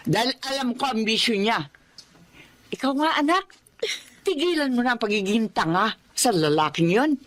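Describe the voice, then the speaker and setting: Older mother, expressive radio drama. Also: fairly high pitch, moderate pace. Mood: scolding, exasperated. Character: blunt, scolding older mother and grandmother. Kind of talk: Filipino radio drama acting.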